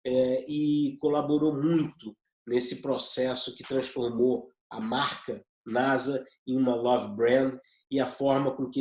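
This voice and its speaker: A man hosting an online event, giving an introductory address to viewers. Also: mid-range voice. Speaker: event host. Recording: roomy, echoey sound.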